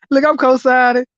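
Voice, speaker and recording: A woman with a hoarse, fairly high voice hosts a live stream, hyping things up with laughter and swearing. The sound is dull and lo-fi, and the room is dry. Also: no echo. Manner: loud, laughing, profane hype commentary. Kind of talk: casual live-stream chat.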